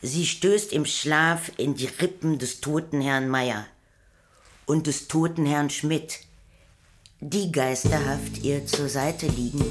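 A woman narrates a radio play in a low voice, taking her time over every phrase. Faint music runs behind her deliberate, literary storytelling.

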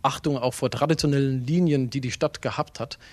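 A man's voice: mid-range voice, steady tone, a little fast, dry recording. Earnest male politician, dry recording. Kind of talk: interview statement.

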